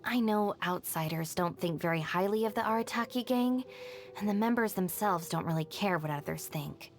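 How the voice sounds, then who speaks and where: A woman's voice: mid-range voice, normal speed, close to an everyday voice. An actress playing a level-headed, self-reliant young woman in a video game, speaking in a composed, dry, matter-of-fact way. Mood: calm, assured. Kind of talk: scripted video game character voice lines. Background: background music.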